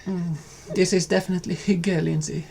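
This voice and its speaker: A man co-hosting a lifestyle vlog, speaking calmly and unhurriedly. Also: high-pitched, moderate pace, creaky. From Sweden. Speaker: vlog co-host. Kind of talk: casual chat and sponsor read.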